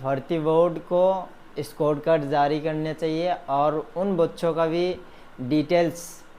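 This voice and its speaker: A man's voice: a little high, darkish tone. Man commenting over background noise. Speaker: education vlogger addressing exam students. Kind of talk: spoken news commentary to viewers. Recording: noticeable background ambient noise.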